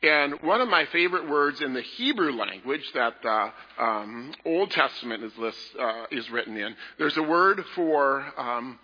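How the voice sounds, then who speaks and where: A man, a pastor giving a gentle, playful children's message in church. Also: mid-range voice, lively intonation, measured pace, few pauses, breathy edge, big-room sound, lo-fi sound. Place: church sanctuary.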